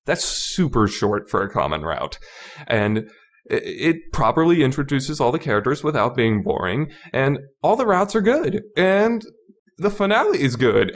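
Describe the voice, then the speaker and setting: A man giving a casual, unscripted podcast review, rambling informally with lots of "you know" and repeating points for emphasis. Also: mid-range voice.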